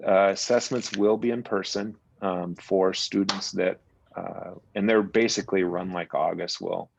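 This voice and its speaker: A man giving a school principal's report at a parents' meeting, relaxed and rambling with fillers. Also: sits low, a little slow, gravelly, slightly rough, rough recording. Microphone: video call.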